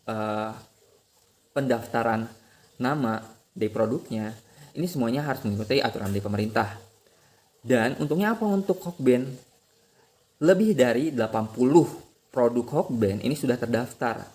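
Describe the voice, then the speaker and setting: A man's voice: mid-range voice, darkish tone. Man presenting a marketing analysis. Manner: asks himself rhetorical questions and answers them; lists points one after another. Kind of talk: academic presentation.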